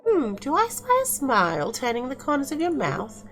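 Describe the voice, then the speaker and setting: A woman's voice: high voice, huge pitch swings, moderate pace, fairly bright tone, pitched above the performer's own voice, full character voice. A woman voicing a bubbly, teasing young cafe apprentice in a visual novel. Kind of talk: visual novel character reading. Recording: music playing behind the voice.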